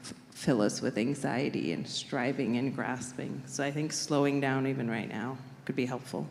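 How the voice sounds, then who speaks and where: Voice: medium pitch, steady tone, normal speed, gravelly, darkish tone, a little hiss or hum. Conversational pastor in a reverberant church. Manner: conversational, reflective, joking. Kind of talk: sermon with co-speaker banter. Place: church sanctuary.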